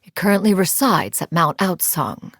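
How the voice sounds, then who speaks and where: A woman's voice: fairly low pitch, big pitch swings, moderate pace, fairly bright tone, slight background noise. A woman voicing a proud, dignified immortal inventor in an anime video game, speaking in formal, archaic phrases. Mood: reassuring.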